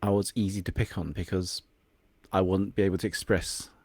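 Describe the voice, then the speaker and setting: A man's voice: deep voice, steady tone, a little fast, no room sound. A young man from England whose first language is Cantonese, a confidence coach speaking reflectively as a podcast guest, sometimes searching for words. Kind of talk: podcast interview.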